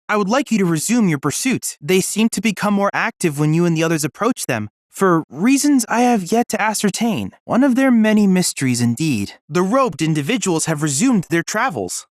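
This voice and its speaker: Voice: mid-range voice, no echo, clean studio sound, lightly acted. An actor voicing an earnest, brainy boy-like android assistant in a video game, youthful-sounding and speaking in formal, precise, bookish phrases. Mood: earnest, analytical. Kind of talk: video game voice acting, scripted dialogue.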